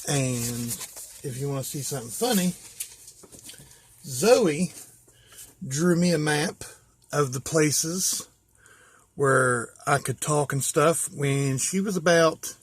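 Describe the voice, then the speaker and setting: An American man from West Virginia talking casually and informally as he shows things, pausing to think aloud. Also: fairly high pitch, lively intonation, breathy edge. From West Virginia, USA. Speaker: hobbyist vlogger. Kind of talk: casual show-and-tell.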